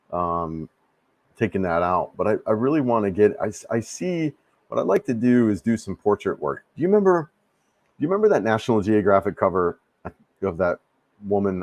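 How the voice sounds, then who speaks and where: Male host, 50s, lowish voice, casual rambling livestream chat, dry room. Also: darkish tone, dry recording. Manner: rambling, restarts often, laid-back and friendly. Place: home room.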